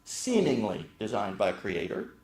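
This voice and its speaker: A man's voice: on the low side, wildly swooping pitch, moderate pace, darkish tone, rough recording. A man giving an earnest, emphatic argument, heard in a video clip played back for review. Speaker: creationist speaker in a reviewed video.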